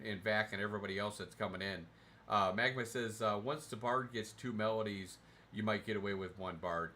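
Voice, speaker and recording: A man streaming a game from his recliner at home, reading out viewer comments and answering them in a relaxed, rambling way with lots of fillers and restarts. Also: fairly low pitch. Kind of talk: casual livestream chat, answering viewer comments. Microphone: desk mic, sometimes too far from it.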